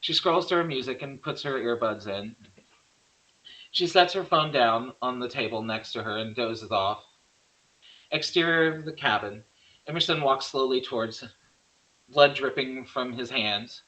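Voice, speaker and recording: Bright-voiced man narrating a screenplay. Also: medium pitch, measured pace, poor audio. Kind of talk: reading screenplay stage directions.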